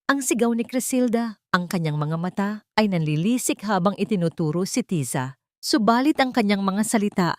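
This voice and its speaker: A woman storyteller reading a scripted romantic drama in a measured, literary manner. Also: medium pitch, darkish tone, dry recording, clean studio sound.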